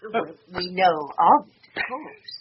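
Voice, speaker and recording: An actress playing an assured, exacting middle-aged English wife in a radio drama, crisp and insistent, on an old recording. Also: female voice, fairly low pitch, expressive, a little slow. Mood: polite, assured. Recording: dull, faintly noisy old radio recording.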